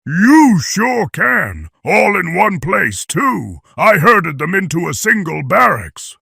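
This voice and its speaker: High, piercing, blustering army colonel. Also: male voice, sing-song, slow pace, creaky, pushed and bright, breathy edge, no room sound, exaggerated voice. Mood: cocky. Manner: barking, profane, emphatic. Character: blustering, crude, sexist army colonel, gruff and contemptuous. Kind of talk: animated noir satire voice acting.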